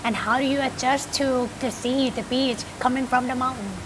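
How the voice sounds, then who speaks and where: A woman's voice: sits high, steady tone, fast talker, background noise. A woman TV host narrating a travel feature and asking interview questions in a polished presenter's manner.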